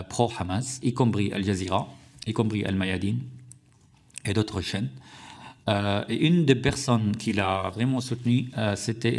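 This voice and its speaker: Man, low gravelly voice, halting committee testimony. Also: darkish tone. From Syria. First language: Arabic. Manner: hesitant, many fillers and restarts. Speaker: witness at a parliamentary hearing. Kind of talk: formal testimony. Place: parliamentary committee room.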